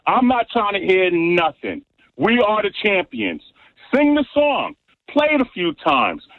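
A man with a New York accent calling in to a sports radio show and boasting loudly about his team. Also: high-pitched, very animated, a little slow. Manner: boastful, emphatic trash talk. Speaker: radio caller. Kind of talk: call-in sports rant. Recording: tinny dry phone line.

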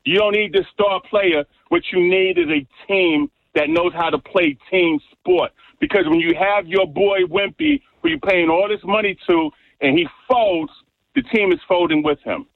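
A man's voice: high voice, normal speed, no echo. Brash New York man on a phone line. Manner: brash, trash-talking, emphatic rhetorical questions. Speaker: caller. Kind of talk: passionate sports radio call.

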